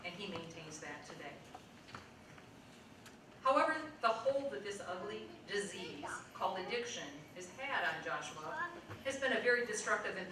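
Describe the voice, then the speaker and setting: Woman host in an echoey, noisy hall. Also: fairly low pitch. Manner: heartfelt, formal. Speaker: event host introducing speakers. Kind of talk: prepared introduction speech. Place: event hall with audience. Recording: echoey hall from a distance, background noise.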